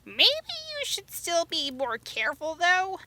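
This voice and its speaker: A woman's voice: extremely high pitch, wildly swooping pitch, takes it slowly, sharp tone, slight background noise, exaggerated voice. A woman playing a fierce, blunt teenage girl fighter in a fan audio drama. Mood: wary.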